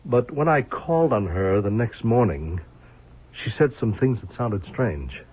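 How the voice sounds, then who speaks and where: An actor playing an uneasy, evasive man in an old radio drama, speaking haltingly. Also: on the low side, lively intonation, breathy edge. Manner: hesitant, halting. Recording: muffled, narrow-band old radio recording.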